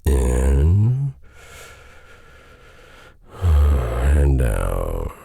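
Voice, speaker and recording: A man with a very deep voice telling a bedtime story in a hushed, intimate, unhurried, soothing way. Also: huge pitch swings, gravelly, slightly rough, no echo, clean studio sound. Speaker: audio roleplay performer reading a bedtime tale.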